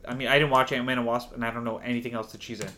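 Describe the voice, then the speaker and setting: Casting: male podcast co-host, medium pitch, rapid casual chat, plain-spoken, swears freely. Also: flat delivery, bright, forceful tone, slight background noise.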